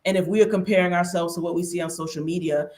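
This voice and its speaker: A woman's voice: fairly low pitch, steady tone, fast, darkish tone. A woman giving conversational advice on a panel, earnest and emphatic, repeating key phrases.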